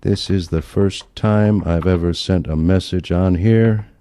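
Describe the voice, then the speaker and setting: A man acting as a sly, crusty elderly grandfather in an audio play, speaking in a deliberate, halting way. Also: sits low, fairly even intonation, dark tone, smooth. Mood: coldly scheming. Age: older.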